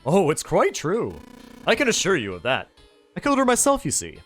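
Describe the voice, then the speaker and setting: A cruel, genteel villain who mocks with exaggerated politeness, as a male reader puts him on. His pitch is raised and leaps all over the place. Faint music plays under this storybook reading. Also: huge pitch swings, fairly quick, a bit bright, exaggerated voice. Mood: gloating. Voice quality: pompous, mocking, overly polite. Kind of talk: audiobook character voice.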